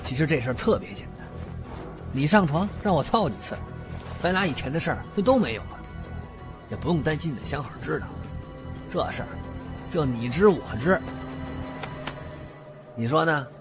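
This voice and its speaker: Man acting a sneering, lively-pitched vengeful thug. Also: moderate pace, pauses often. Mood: sneering threat. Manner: sneering, crude swearing, taunting. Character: menacing, vulgar, disfigured older brother with a grudge. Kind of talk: Chinese audio drama acting. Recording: music behind the voice.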